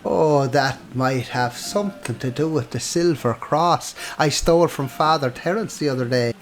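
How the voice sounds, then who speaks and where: A man's voice: medium pitch, normal speed, slight background noise, close to an everyday voice. A man playing a wily, cheeky Irish drinker and trickster in a radio play, wheedling in folksy old-fashioned dialect. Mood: smug. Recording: loud music and rain behind.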